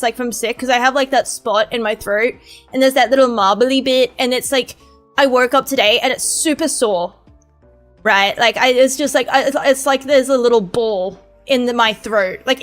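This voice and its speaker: A woman streamer with a sore throat chats casually, rambling and swearing. Her voice sits high. Faint music plays in the background. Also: fairly bright tone. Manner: rambling, swearing, laughing chatter.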